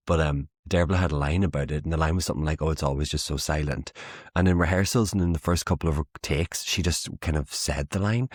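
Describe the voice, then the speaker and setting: A young Irish man, a playwright being interviewed, telling stories about his work in an animated, self-deprecating way. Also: deep voice, dry recording, clean studio sound. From Ireland. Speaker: playwright guest. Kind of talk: interview conversation.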